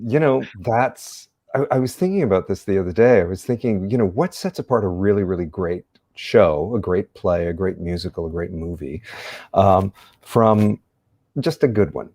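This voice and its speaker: Older man, very low voice, dry close sound, reflective rambling storyteller, from San Diego. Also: moderate pace, darkish tone, dry recording. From San Diego, United States. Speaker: guest, theater actor. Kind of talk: casual interview chat, storytelling.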